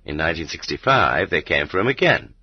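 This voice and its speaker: A man narrating an audiobook in a measured, dramatic way with rhetorical emphasis, on a dull cassette recording. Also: sits low, sing-song, slightly rough, no room sound.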